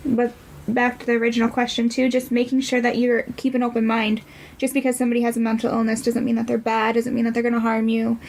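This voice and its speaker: Creaky-voiced woman in podcast discussion. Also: on the high side, a little hiss or hum, poor audio. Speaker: podcast guest. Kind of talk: earnest conversational discussion.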